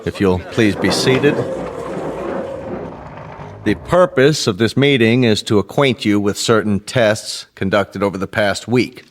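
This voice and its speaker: Man playing low-voiced, composed diplomat chairman. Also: measured pace, lightly acted. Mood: formal, businesslike. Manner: formal, orderly, assured. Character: composed, authoritative male senior diplomat chairing meeting. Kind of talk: radio drama acting. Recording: faint background noise, clicks.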